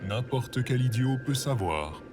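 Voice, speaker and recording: A man's voice: mid-range voice, expressive, unhurried, noisy background, lightly acted. A man voicing a sententious companion who dispenses proverbs solemnly in a French audio drama. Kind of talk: scripted French audio fiction acting.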